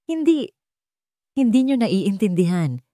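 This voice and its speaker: A woman's voice: medium pitch, very animated, slow, warm tone, dry recording, studio quality, pitched above the performer's own voice. A woman acting an arrogant, venomous rich female villain. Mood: desperate. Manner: accusing, indignant.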